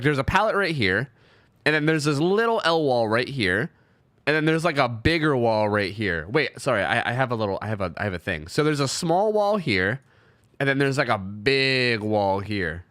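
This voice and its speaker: A man's voice: mid-range voice, fairly bright tone, no echo. A young man with a cold, commentating gameplay casually, sniffly, profane and rambling with lots of restarts. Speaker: gameplay reviewer.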